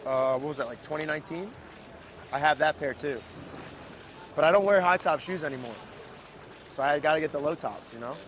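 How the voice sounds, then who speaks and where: A man hosting a casual livestream, loud and hyped, talking to viewers and guests. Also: fairly high pitch, noisy background. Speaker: host.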